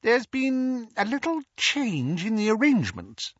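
An effusive, fussy old wizard, as a male narrator puts him on, his voice climbing high and swooping through every phrase. He gushes with flattery. The recording is dry and a little dull. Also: a little slow, no echo, exaggerated voice. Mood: brisk. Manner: effusive, fawning, high-pitched.

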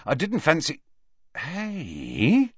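A man's voice: medium pitch, wildly swooping pitch, takes it slowly, a bit bright, slightly rough, dry recording, highs cut off, pitched above the performer's own voice, heavily acted. Man voicing a moody, nervous teenage boy. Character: moody, nervous teenage schoolboy athlete, easily startled.

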